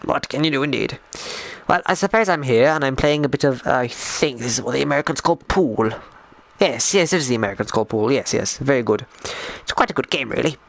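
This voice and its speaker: A man voicing a genteel, bumbling 1920s English gentleman, rambling in old-fashioned posh phrases on a live stream, hesitant and repeating himself. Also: mid-range voice, animated, fairly quick, creaky. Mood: genial, rambling. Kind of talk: in-character live stream chat while gaming.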